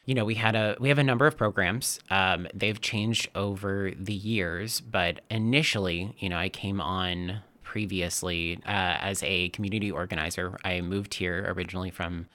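Man in his thirties from Texas, low voice with a forceful edge, earnest interviewee who restarts often. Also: steady tone, normal speed, hardly any pauses. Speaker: nonprofit trail stewardship staffer. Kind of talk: interview answers.

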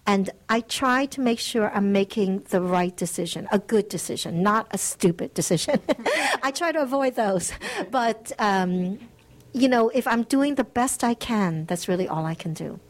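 A woman's voice: mid-range voice, expressive, normal speed. Middle-aged woman guest, earnest and reflective, interview answers. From United States. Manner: earnest, reflective, laughs lightly. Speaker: guest author.

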